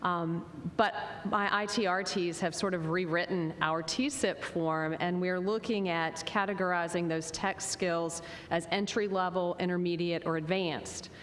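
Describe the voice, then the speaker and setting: A woman's voice: steady tone, a little slow, hardly any pauses. A woman on a conference panel explaining her school's professional development plans in an earnest way. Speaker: school technology administrator. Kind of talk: panel discussion answer. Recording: reverberant conference hall, faint rumble.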